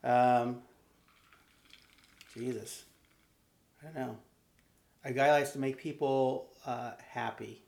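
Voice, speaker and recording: A man being interviewed, answering with pauses, fillers and laughs, who grew up in Nevada. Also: mid-range voice, steady tone, vocal fry, a little mellow, echoey room. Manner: hesitant, laughing, lots of fillers. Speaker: interview guest. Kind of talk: casual conversation.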